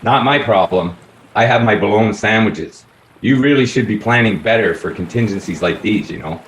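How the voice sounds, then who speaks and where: Man acting a low-voiced, pompous police detective. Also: lively intonation, moderate pace, fairly bright tone. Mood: dismissive. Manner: pompous, repetitive, officious. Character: pompous, plodding police detective, self-important and blunt. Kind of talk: radio play acting. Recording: echoey room, faint background noise.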